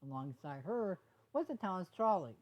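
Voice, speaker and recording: Female amateur story narrator, lowish pitch, halting read with stumbles and repeated words. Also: big pitch swings. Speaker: fan fiction reader.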